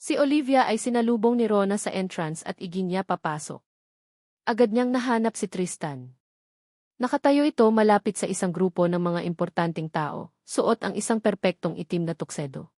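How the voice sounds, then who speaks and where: A woman narrating a romance story in a measured, dramatic storytelling manner. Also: mid-range voice, a little mellow, no room sound, clean studio sound. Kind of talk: scripted storytelling.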